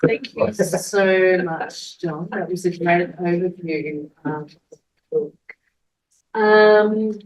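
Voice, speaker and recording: A woman hosting an event and informally introducing speakers, with a quiet voice and a hesitant, pausing delivery. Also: on the low side, rough recording. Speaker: event host, moderator.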